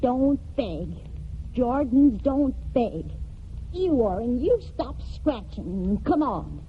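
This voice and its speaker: An actress playing a proud, bitter rural relative in a 1940s radio drama. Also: female voice, on the high side, big pitch swings, slow pace. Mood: haughty. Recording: dull, noisy old radio broadcast.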